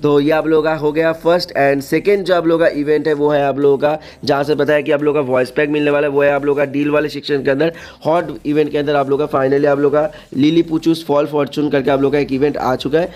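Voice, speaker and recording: Male gaming host, slightly high-pitched and very quick, casual explainer delivery with repeated check-in tags. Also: level tone, darkish tone. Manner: repetitive, uses frequent check-in tags and English loanwords. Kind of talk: casual explainer narration over game screens.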